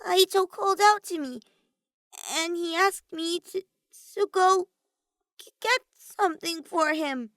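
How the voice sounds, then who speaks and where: A woman voicing a small, timid teenage girl mage in a story, halting and nervous. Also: fairly bright tone, no room sound, heavily acted. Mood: defensive, stiff. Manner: squeaky, stiff, halting.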